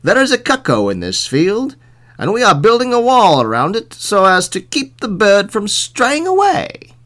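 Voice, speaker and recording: A foolish, eager townsman voiced by a male storyteller speaks in a raised pitch. He is emphatic and naively earnest, and his pitch jumps about a great deal. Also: huge pitch swings, moderate pace, a bit bright, exaggerated voice.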